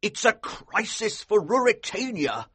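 A commanding army colonel from an adventure storybook. The voice is husky and pitched high, swinging widely as he slowly hammers out each phrase with forceful urgency. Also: very animated, no room sound, heavily acted. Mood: grave, urgent. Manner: slow, emphatic, commanding. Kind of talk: audiobook character acting.

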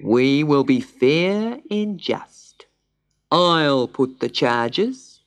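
Woman putting on a slow, pompous male pelican. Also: sits low, animated, exaggerated voice. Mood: solemn, stern. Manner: slow, drawn-out, formal pronouncements. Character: pompous, solemn male pelican prosecuting a trial. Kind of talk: children's storybook character voice.

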